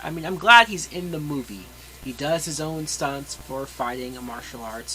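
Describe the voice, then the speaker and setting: A man's voice: mid-range voice, sharp tone, a little hiss or hum, low-quality recording. A man reviewing a movie in a casual, opinionated way, with emphatic, blunt and sweary delivery. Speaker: film reviewer.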